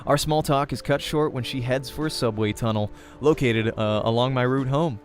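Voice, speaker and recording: A man hosts a let's play, reading visual novel narration aloud. His voice sits at a middle pitch. Music plays behind him. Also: normal speed. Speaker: let's play host and reader.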